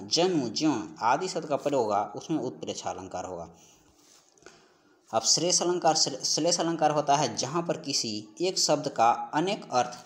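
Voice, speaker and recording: A man giving an instructional lesson as an exam-prep teacher, working through questions and repeating key points and answers. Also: on the high side. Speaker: teacher of Hindi for exam preparation.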